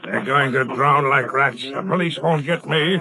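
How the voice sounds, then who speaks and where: An actor playing a gloating, vengeful murderer with a menacing, drawn-out, melodramatic delivery in 1930s radio style. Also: male voice, mid-range voice, bright, forceful tone, slight background noise. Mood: manic. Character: gloating, vengeful male murderer, unhinged and defiant. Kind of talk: 1930s radio drama acting. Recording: vintage 1930s radio broadcast.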